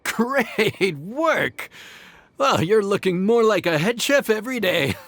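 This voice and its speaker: An actor playing a friendly, encouraging restaurant worker in a video game, easygoing and obliging. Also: male voice, high-pitched, very animated, slow, gravelly, a bit bright, no room sound, heavily acted. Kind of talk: natural video game voice acting.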